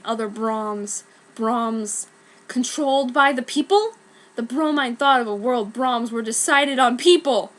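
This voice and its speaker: A woman's voice: a little high, fairly bright tone. A woman giving a dramatic, mock-serious reading of a scripted story as narrator. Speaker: reader of a fan story.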